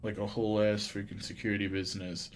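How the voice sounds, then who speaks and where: A man's voice: on the low side, flat delivery, fast. A man live streaming a game, chatting casually with some swearing and reading the on-screen text aloud. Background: game music.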